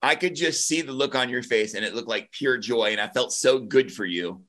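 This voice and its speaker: A man's voice: medium pitch, moderate pace, sharp tone. A man hosting an interview, asking questions enthusiastically and effusively. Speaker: host. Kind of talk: casual interview chat.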